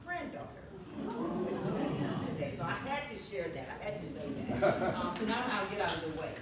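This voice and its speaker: An older woman talks loosely and playfully from a podium, praising people and cracking jokes as she goes. Her voice bounces around a big echoey hall, heard from a distance over loud background noise. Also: lowish voice, very animated, fairly quick. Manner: chatty, warm-hearted, joking, laughs often. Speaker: organization leader introducing the panel. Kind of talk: casual conference introduction. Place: large conference room.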